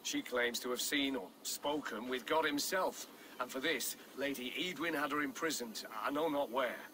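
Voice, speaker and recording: An actor playing a pious, vengeful medieval Saxon nobleman in a video game, declaiming forcefully. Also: medium pitch, moderate pace, a little hiss or hum, lightly acted. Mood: scornful.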